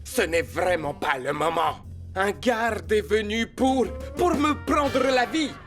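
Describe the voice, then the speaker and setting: A man voicing a dramatic, urgent swashbuckling cat hero with theatrical delivery in a French animated film dub. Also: high voice, takes it slowly, soft background music, heavily acted. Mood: urgent, pleading. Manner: theatrical, peppered with Spanish exclamations.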